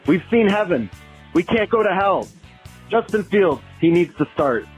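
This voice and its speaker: A male fan makes an impassioned, repetitive plea on a voicemail. His voice is fairly high, with music playing faintly behind. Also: lively intonation, a little slow, husky.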